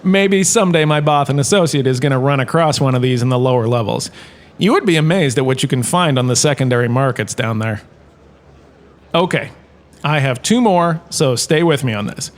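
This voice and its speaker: A man's voice: mid-range voice, expressive, brisk, lightly acted. A man playing a washed-up actor turned lecturer in a comedic audio drama, chatty and wry, tossing asides to his class. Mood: chatty lecturing.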